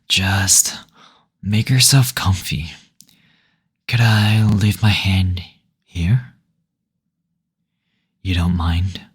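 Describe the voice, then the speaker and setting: Deep, breathy, gentle demon played by a man. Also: exaggerated voice. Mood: soothing. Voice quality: breathy, husky. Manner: slow, soothing, tender, attentive. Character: gentle teasing male demon, brothel receptionist. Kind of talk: intimate roleplay audio, whispery.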